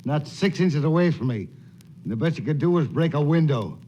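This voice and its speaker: A man's voice: fairly high pitch, expressive, dark tone, muffled recording, natural voice. An actor playing a menacing, sneering outlaw boss who taunts his men in a 1940s radio western. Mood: taunting. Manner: slow, taunting. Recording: faintly noisy 1940s radio recording.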